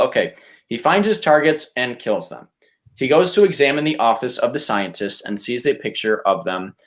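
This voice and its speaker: A man chatting casually and reading out pitches as a podcast contestant. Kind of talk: casual chat with read-out pitches.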